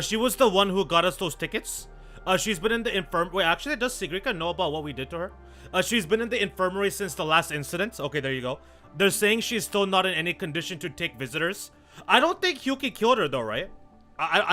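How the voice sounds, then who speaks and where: A man with a high, bright, forceful voice hosts a casual live game stream. His talk is slangy and repetitive, hopping between greeting viewers and commenting on the game. Light music plays along. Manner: slangy, repeats himself, greets viewers mid-thought.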